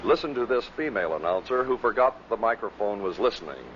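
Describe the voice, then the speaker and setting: A man's voice: on the low side, animated, fast talker, fairly bright tone. A man reading scripted narration as the presenter of a compilation of radio clips. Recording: dull vintage recording with faint noise.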